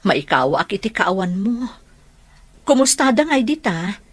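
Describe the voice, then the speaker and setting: A woman's voice: mid-range voice, very animated, a little slow, dry recording. An affectionate, ailing mother in an Ilocano radio drama. Her mid-range voice is tender and plaintive, full of loneliness.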